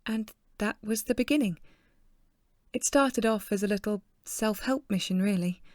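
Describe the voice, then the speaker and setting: A woman acting an earnest, self-deprecating young woman in an audio drama, with an excitable, gushing manner. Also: mid-range voice, normal speed, lightly acted. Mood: reflective.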